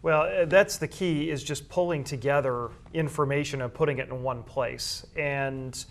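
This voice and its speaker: A man giving measured, detailed explanations as an interviewee who works in school sports information and broadcasting. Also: medium pitch, creaky.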